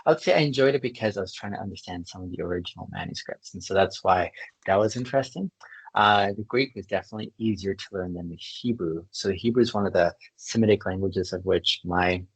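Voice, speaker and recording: A podcast host from Canada talks in an articulate, reflective way and laughs easily. His voice is fairly low with a husky edge, and the recording sounds close and dry. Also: fast, dry recording, rough recording. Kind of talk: conversational interview.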